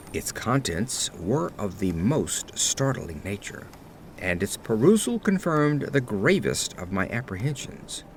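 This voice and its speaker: A man narrating a gothic horror tale from a script in a measured, solemn storyteller's manner. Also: mid-range voice, slight background noise. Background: atmospheric ambience.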